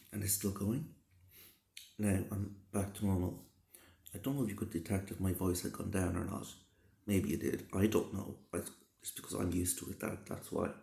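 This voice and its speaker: A man with a rough, hoarse voice from a cold, giving casual, reassuring advice and often repeating and rephrasing himself. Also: sits low, mellow. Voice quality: gravelly, slightly rough. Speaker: vlogger answering a subscriber. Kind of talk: casual advice talk to camera. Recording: roomy, echoey sound.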